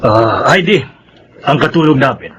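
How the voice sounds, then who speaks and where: A man's voice: mid-range voice, lively intonation, a little slow, fairly bright tone, slight background noise. A man acting a middle-aged, fair-minded father and head of the household in a radio drama. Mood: considerate.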